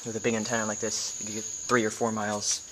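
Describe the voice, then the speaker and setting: A man's voice: fairly low pitch, monotone. American man, casual how-to, noisy recording. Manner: informal, halting, thinking aloud. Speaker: video tutorial host. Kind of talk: casual hands-on how-to demonstration. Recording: noisy amateur camera recording with clicks.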